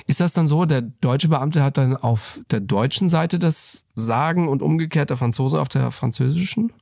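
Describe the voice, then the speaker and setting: A man's voice: a little high, moderate pace, gravelly, a little mellow, no echo. A man hosting a studio interview, who puts long questions that set up the topic before asking. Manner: asks long, framing questions. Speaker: interview host.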